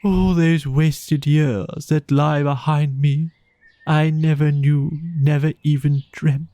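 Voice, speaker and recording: A man voicing a slow, dreamy, besotted toad. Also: fairly high pitch, fairly even intonation, dark tone, no echo. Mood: dreamy, grandiose. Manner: murmuring, drawn-out, rapturous delivery. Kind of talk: children's storybook character voice.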